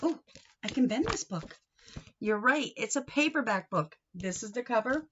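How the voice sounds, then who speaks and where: Expressive, high-voiced woman reading to children. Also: moderate pace, lo-fi sound, a little hiss or hum. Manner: expressive, sing-song, coaxing with questions to children. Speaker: read-aloud teacher for young children. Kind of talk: interactive picture-book read-aloud for young children.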